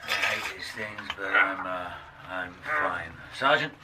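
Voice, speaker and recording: An actor playing a terse police inspector in a radio drama, asking short, probing questions. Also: male voice, sits low, lively intonation, takes it slowly, heavy background noise. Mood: uneasy. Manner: clipped, questioning. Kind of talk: naturalistic radio drama dialogue.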